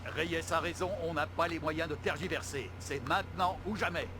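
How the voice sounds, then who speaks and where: A man's voice: fairly high pitch, normal speed, lightly acted. An actor playing a firm, authoritative male ship captain in video game dialogue. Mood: commanding. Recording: clear vehicle-like background noise.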